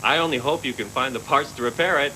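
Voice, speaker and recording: A man's voice: medium pitch, fast, sharp tone, a little hiss or hum, low-quality recording. A man voicing a kindly, easygoing cybernetics doctor in an English anime dub, recorded off old tape. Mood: matter-of-fact.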